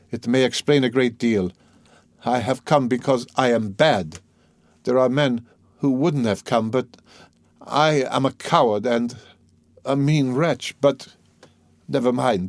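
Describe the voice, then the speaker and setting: A man reads as a young male killer tormented by guilt, in a storybook drama. He is bitter and self-loathing, speaking in agitated, halting bursts in a close, dry recording. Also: medium pitch, no room sound, pitched above the performer's own voice. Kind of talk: dramatic audiobook character reading.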